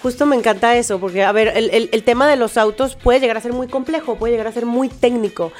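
A woman's voice: fairly high pitch, fast talker, darkish tone, faint music behind. Chatty Colombian woman from Medellín. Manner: enthusiastic, explanatory, frequent fillers. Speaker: car tester, guest. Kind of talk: casual interview chat.

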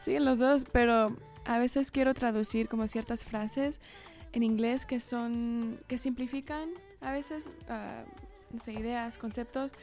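A young woman with a fairly high voice speaks Spanish with an American English, Spanglish tinge. She answers interview questions in an earnest, reflective, conversational way. Music plays behind her. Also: fairly even intonation, measured pace, a little mellow. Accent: Spanglish-tinged Spanish with an American English influence. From California, United States. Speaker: singer-songwriter being interviewed.

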